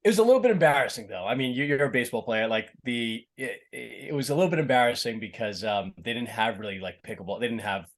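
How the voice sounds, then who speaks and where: A man's voice: medium pitch, expressive, fast, hardly any pauses. A middle-aged man from Boston with a slight Boston accent, a guest chatting casually in an interview and often restarting his sentences. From Boston, USA. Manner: rambling, many restarts and fillers. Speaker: actor, podcast guest.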